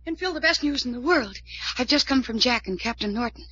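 A woman's voice: a little high, expressive, brisk, pushed and bright, a little hiss or hum. An actress playing an earnest, trusting young woman in a 1940s radio serial. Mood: excited. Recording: muffled old-time radio recording.

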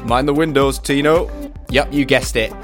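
Man, British accent, podcast host, introduction and interview chat, mid-pitched voice, clear music bed. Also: steady tone, moderate pace. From United Kingdom.

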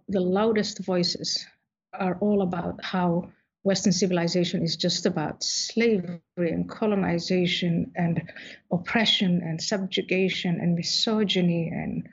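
A woman from Somalia speaks as an interview guest, measured and thoughtful, often pausing on small fillers. Her voice is husky, and the audio sounds dull and a little lo-fi. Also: on the low side. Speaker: guest author. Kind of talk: interview answers.

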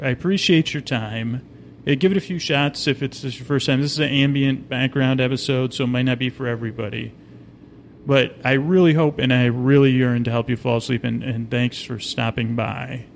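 A man's voice: fairly even intonation, moderate pace, gravelly. A man hosting a sleep podcast in a meandering, hesitant, slightly goofy soothing monologue, recorded on location inside an observation wheel cabin. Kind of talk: rambling, soothing bedtime monologue. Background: low ambient hum, faint.

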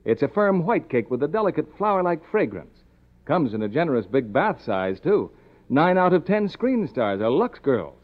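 A man's voice: fairly high pitch, very animated, moderate pace. An American man announcing a scripted 1950s radio commercial in a polished, salesmanlike manner. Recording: slightly dull vintage radio recording.

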